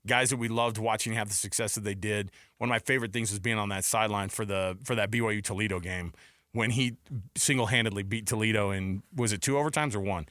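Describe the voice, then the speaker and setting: A man's voice: fairly low pitch, fairly even intonation, fast, a bit bright, no room sound. Casual male sports host, dry studio sound. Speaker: sports talk show co-host.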